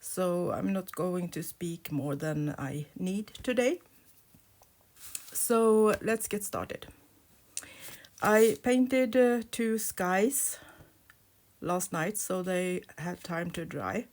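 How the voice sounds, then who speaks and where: A woman with a sore throat hosting a craft tutorial, talking softly with many pauses and fillers. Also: on the low side. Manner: soft-spoken, hesitant with many uh's, drops to murmurs while painting. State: sore throat, nearly lost voice. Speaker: crafting channel host. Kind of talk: relaxed craft tutorial voice-over.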